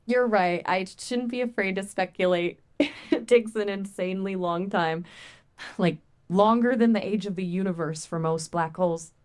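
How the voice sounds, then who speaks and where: A woman's voice as a conversational AI assistant, chatting casually in a playful, expressive, colloquial way. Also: mid-range voice.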